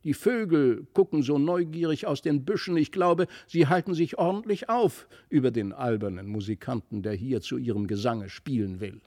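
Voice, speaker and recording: A man voicing a gentle, playful young girl in a storybook, polite and childlike. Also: medium pitch, expressive, moderate pace, few pauses. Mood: critical. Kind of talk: storybook character voice.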